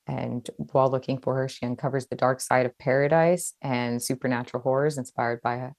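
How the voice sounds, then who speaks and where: Low-voiced woman author in conversation. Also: a little fast, dry recording. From Hawaii. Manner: chatty, expressive, laughs easily. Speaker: author, interview guest. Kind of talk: casual conversational interview about own writing.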